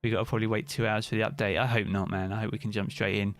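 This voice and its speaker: A man's voice: lowish voice, little pitch movement, very fast, dry recording. A British man streaming live, chatting casually and answering viewers' comments by name.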